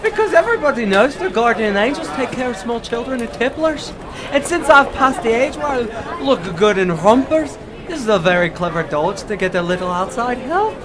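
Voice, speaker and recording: An actor playing a boozy old ex-doctor in a 1940s radio drama, rambling philosophically in florid, lecturing speeches. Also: male voice, very high-pitched, big pitch swings, moderate pace, crowd noise. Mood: tipsy, wistful.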